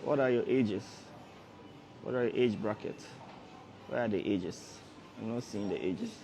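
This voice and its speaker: Low-voiced man coaching a livestream audience. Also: unhurried, dark tone, soft background music, poor audio. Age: 30s. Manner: repetitive, coaxing, addresses viewers directly. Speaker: forex trader hosting a livestream. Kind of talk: casual motivational livestream talk.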